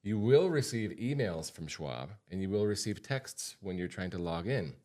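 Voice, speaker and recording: A man's voice: low voice, lively intonation, normal speed, warm tone. An American man hosting a podcast as a financial advisor, talking informally and repeating points for emphasis. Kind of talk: casual podcast talk.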